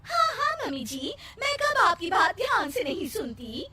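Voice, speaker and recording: Squeaky, high-pitched chatterbox young daughter-in-law. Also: female voice, huge pitch swings, normal speed, full character voice. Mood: chatty, eager. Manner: rambling, circular, mock-modest chatter. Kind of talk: Hindi cartoon moral story acting. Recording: distant mic, faint noise.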